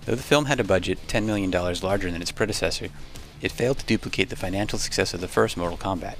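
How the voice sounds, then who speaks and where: Fast-talking low-voiced man narrating. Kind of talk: scripted documentary narration. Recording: music under the voice. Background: background music.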